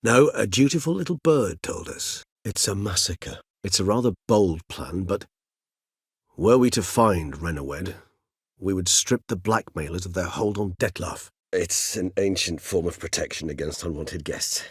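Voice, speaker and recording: An actor playing a courteous, erudite, world-weary centuries-old vampire in a video game, speaking in measured, eloquent, formal phrases. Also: male voice, low-pitched, lively intonation, breathy edge, no echo, studio quality. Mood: measured, wry. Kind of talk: video game character dialogue.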